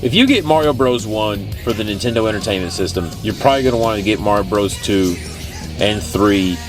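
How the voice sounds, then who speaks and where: A man's voice: fairly low pitch, expressive, fairly quick. A man from Texas with a Texan accent, talking casually and enthusiastically in a rambling vlog style as a retro game collector. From Texas, United States. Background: music.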